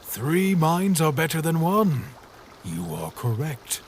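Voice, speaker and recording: A serene, riddling ferryman who drifts through his words at an unhurried pace, as a man puts him on. His sing-song lines rise and fall with a lot of movement. The recording has faint background noise. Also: fairly high pitch, big pitch swings, full character voice. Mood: mysterious. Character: cryptic hooded boat ferryman, serene and riddling. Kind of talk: fan comic dub.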